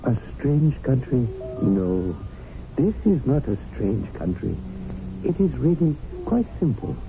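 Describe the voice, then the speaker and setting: Wry, deferential servant-narrator, tinny radio. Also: male voice, mid-range voice, big pitch swings, vocal fry, music in the background, full character voice. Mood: wry, musing. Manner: formal, flowery, measured phrasing. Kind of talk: 1970s radio drama narration and acting. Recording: noisy narrow-band old radio broadcast.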